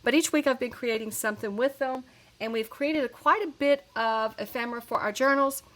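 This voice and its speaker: A woman's voice: a little high, brisk, fairly bright tone. A woman with a head cold, chatting casually through a crafting tutorial with lots of fillers and restarts. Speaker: crafting channel host.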